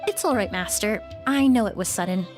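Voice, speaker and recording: An actress playing a calm, knowledgeable young perfumer who speaks in a thoughtful, precise and polite way. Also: female voice, medium pitch, fairly quick, lightly acted. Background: game music.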